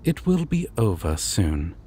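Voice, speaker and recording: A man playing a courteous, formal deity of dreams in an audio roleplay, speaking to the listener with measured, theatrical pauses. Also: on the low side, sing-song, dark tone, slight background noise. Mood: composed, grand. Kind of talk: immersive roleplay addressed to the listener.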